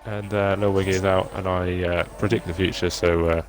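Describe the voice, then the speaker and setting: A man's voice: low voice, little pitch movement, fairly quick. A man co-commentating a live sim racing stream, easygoing and joking as he calls the action.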